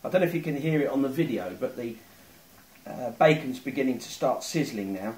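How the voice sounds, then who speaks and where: A man's voice: medium pitch, lively intonation, a little fast, a little mellow. A middle-aged man giving step-by-step cooking instructions in his home kitchen, filmed by a phone camera across the room. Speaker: home cook. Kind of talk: cooking demonstration. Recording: roomy kitchen sound from a distance. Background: sizzling pan and boiling water.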